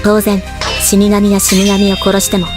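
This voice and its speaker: A woman voicing a stoic, battle-hardened female death god in an anime drama, with measured, composed dramatic delivery. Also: medium pitch, completely flat delivery, loud music behind the voice, lightly acted. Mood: reflective. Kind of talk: anime voice drama, scripted narration and dialogue.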